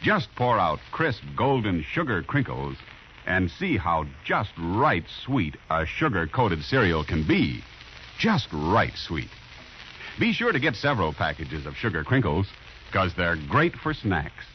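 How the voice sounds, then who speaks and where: A man's voice: low-pitched, big pitch swings, a little slow, slight background noise. A male radio announcer in a polished, upbeat 1950s style, reading copy on an old radio recording. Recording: dull vintage radio recording. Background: music.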